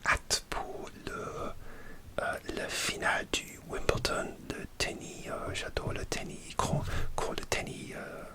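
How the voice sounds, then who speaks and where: A man whispering a casual ASMR chat, halting and hesitant, often pausing to search for words. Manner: halting, hesitant, many fillers and restarts; slips in grammar, searches for words. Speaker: ASMR creator.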